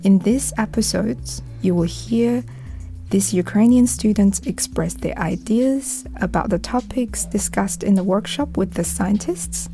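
A woman's voice: medium pitch, unhurried, warm tone, faint music behind. A woman who coordinates a youth project, reading a prepared introduction for a radio program. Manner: reads a prepared script.

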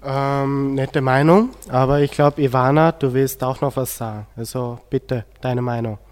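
A man's voice: medium pitch, steady tone, measured pace, a little mellow. A male teenage student with an Austrian accent, moderating a radio discussion. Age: teens. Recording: long hall-like reverb.

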